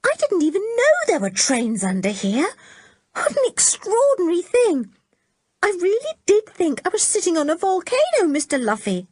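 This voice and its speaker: A woman voicing a frightened, excitable young girl who shrieks high and breathless. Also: moderate pace, dry recording, full character voice. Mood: embarrassed.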